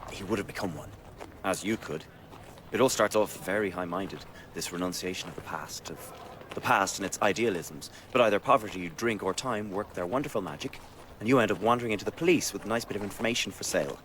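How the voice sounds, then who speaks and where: An actor in a radio drama playing a cold, ruthless revolutionary schemer, sardonic and matter-of-fact. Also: male voice, lowish voice, a little fast, a bit bright, slight background noise, close to an everyday voice. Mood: cold, cynical.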